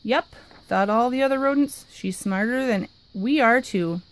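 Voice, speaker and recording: Woman, picture book read-aloud, slightly high pitch, expressive, lively. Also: poor audio. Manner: expressive, lively, dramatic pauses. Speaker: narrator reading a picture book.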